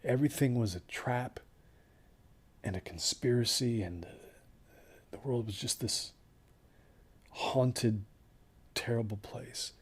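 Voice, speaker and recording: A man playing a shaken, weary survivor of a desert hike in an audio drama, recalling it haltingly and in hushed tones. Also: medium pitch, husky. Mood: haunted, subdued. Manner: halting, hushed, trailing into near-whispers. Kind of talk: audio drama monologue, first-person recollection.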